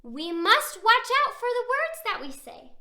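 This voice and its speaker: High-pitched, animated woman reading to children. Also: measured pace. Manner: exaggerated wonder, checks in with questions to child listeners. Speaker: children's picture book reader and host. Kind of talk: animated read-aloud to young children. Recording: roomy echo.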